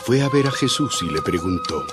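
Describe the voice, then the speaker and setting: A man's voice: medium pitch, animated, fairly quick. A man narrating a dramatized audio Bible from a script. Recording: loud background music under the voice.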